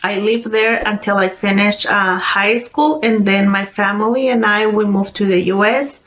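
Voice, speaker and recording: A young woman from Peru with a Peruvian Spanish accent, telling her personal story in an unhurried way, with many pauses and fillers. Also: medium pitch, fairly even intonation, fairly bright tone, poor audio. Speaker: convert sharing her story. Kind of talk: personal testimony monologue.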